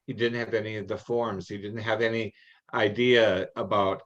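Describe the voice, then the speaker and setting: Middle-aged man, lowish pitch, folksy adviser on an online meeting, somewhat dull lo-fi sound, halting informal delivery. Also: little pitch movement, fairly bright tone. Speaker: experienced contest judge and adviser. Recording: muffled, band-limited online meeting audio.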